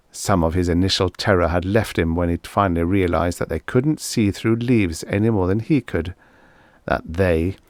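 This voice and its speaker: A man's voice: low voice, dry recording. A man reading a scripted story as an audiobook narrator.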